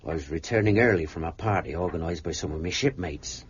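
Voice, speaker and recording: An actor playing a deferential, shaken sailor confessing to a horror in an earnest radio drama style. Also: male voice, sits low, quick pace, breathy edge. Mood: deferential confession. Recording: muffled, with music behind.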